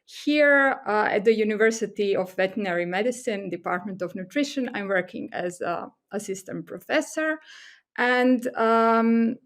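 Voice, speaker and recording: A woman's voice: mid-range voice, lively intonation, normal speed, fairly bright tone. Woman with a Croatian accent, explaining hesitantly. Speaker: guest expert, assistant professor. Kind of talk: conversational podcast interview.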